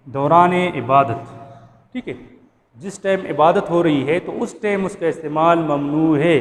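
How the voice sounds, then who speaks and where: A man with a Pakistani accent giving an explanatory law lecture, didactic, repeating points and checking that listeners follow. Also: a little high. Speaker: law instructor. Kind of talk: explanatory lecture going through a statute clause by clause. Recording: reverberant room with background noise.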